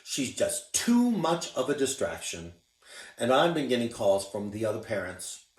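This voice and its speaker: A man's voice: medium pitch, sing-song, a little slow. A man voicing a stern but apologetic male school principal in a children's story, speaking formally. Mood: regretful. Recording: roomy, distant mic.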